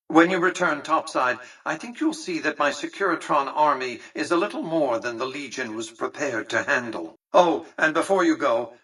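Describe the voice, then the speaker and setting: An imperious elderly tycoon from a video game. His voice is forceful and bright with a husky grain, set slightly away from the mic. He speaks formally and precisely, with cold, condescending superiority. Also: medium pitch, distant mic, low-quality recording. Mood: smugly satisfied. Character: cold, imperious old male tycoon ruling a casino city. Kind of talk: scripted video game character dialogue.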